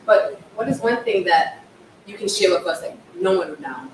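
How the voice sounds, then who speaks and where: An interview host, effusive and laughing, with quick asides and shifting volume. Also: medium pitch, animated. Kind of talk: casual interview. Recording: echoey room, distant mic, faint noise.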